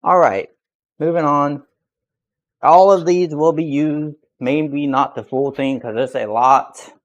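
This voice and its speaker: A man's voice: fairly high pitch, steady tone, takes it slowly, muffled recording. Rambling young man chatting while he cooks. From grew up speaking Russian, learned English at eight. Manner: rambling, many restarts. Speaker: vlogger cooking on stream. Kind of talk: casual cooking chat.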